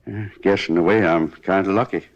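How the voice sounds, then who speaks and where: A man's voice: deep voice, steady tone, brisk, lightly acted. An American man playing a proud, injured former ballplayer who talks in a folksy, plainspoken ramble, in 1950s radio style. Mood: worried, resigned. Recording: muffled 1950s radio recording.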